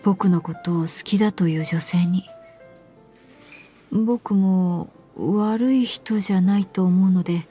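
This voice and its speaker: An earnest, awkward man, voiced by a woman narrator, speaks politely and haltingly in a mellow tone. He is a gentle radio-drama figure who takes his time, with faint music underneath. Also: high voice. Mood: hesitant, sheepish. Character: earnest awkward man passionate about animals, hesitant in love.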